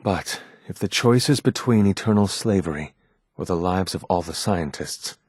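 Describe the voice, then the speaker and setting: An actor voicing a guarded, earnest male android rebel leader in a video game, with formal, measured, grave speech and a husky voice. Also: sits low, a little mellow, dry recording, clean studio sound. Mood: grave, determined. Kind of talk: scripted game character dialogue.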